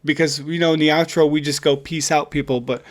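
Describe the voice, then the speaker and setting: A man with a voice a little higher than usual chats casually as a podcast co-host. He is informal and encouraging, like someone cheering on a friend. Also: steady tone, brisk. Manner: encouraging, informal, says "dude" often. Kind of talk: casual chat.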